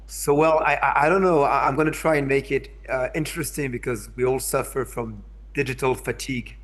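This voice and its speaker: A man's voice: medium pitch, fairly even intonation, a little fast, a bit bright. A man from France with a French accent, giving a hesitant lecture over a video call, often repeating words and checking in with his listeners. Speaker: invited speaker, curator. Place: in São Paulo, remote.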